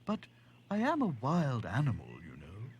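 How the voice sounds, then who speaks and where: A man voicing a polite, mildly suspicious giraffe in a children's story, with slow, hesitant phrasing. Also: medium pitch, huge pitch swings, dull audio, a little hiss or hum, voice pushed up. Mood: apologetic.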